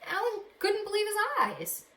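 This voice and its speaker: A woman teacher reading a storybook aloud to children in an expressive way. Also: extremely high pitch, moderate pace. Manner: expressive, addresses young listeners with questions. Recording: echoey room with faint background noise.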